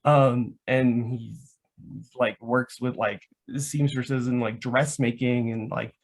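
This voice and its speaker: A man's voice: mid-range voice, steady tone, takes it slowly. A man with a mid-range voice speaks as a guest in a casual, unscripted chat. He is enthusiastic about his hobby and rambles, dropping "like" into many of his sentences. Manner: enthusiastic, rambling, frequent "like" as filler. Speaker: guest, LARP foam crafter.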